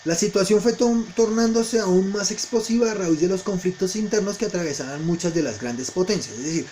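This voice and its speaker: A man's voice: high voice, brisk, darkish tone, a little hiss or hum. A man teaching a history lesson to students in an informal lecture style, explaining didactically and often checking in with short questions.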